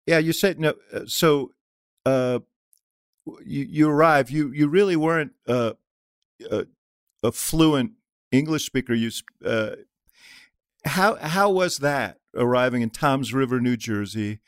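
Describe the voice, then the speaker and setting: Halting lower-voiced male host. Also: clean tone, no echo, studio quality. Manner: halting, many fillers and restarts. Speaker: podcast host. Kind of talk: interviewing a guest.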